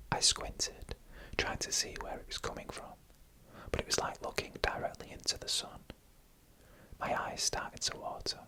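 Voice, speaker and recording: A person narrating eerie stories as ASMR in a hushed, deliberate whisper, close to the microphone. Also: low-quality recording. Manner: slow, hushed, deliberate storytelling in an unvoiced whisper. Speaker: ASMR storyteller.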